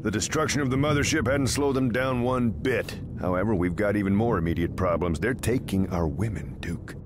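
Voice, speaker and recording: Solemn game general, played by a man: low, commanding, urgent, rumbling engine noise behind. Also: fairly quick, lightly acted. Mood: grave. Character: grave, earnest military general briefing a hero. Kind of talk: video game voice acting.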